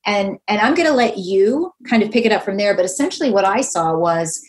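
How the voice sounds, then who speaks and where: A woman's voice: medium pitch, fairly quick. A woman in her fifties hosting a podcast, talking with enthusiasm and acting out quotes in casual chat. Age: 50s. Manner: enthusiastic, animated, quotes herself and others. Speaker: podcast host.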